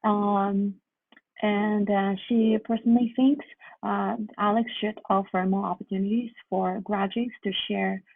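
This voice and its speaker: Husky woman, phone-like sound. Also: mid-range voice, level tone. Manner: halting, hesitant delivery with repeated phrases. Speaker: graduate student presenter. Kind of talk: academic presentation. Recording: muffled phone-like line, roomy echo.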